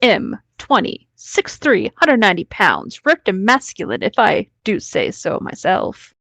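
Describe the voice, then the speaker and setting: Woman from texas reading out a story, slow and really expressive, mocking tone. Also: mid-range voice, no room sound. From Texas, United States. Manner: theatrical, mocking. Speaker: co-host reading a story aloud.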